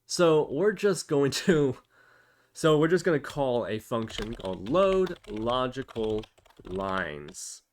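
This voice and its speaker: Man casually talking through a coding tutorial. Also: medium pitch. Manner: thinks aloud, informal step-by-step explaining. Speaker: programming tutorial host.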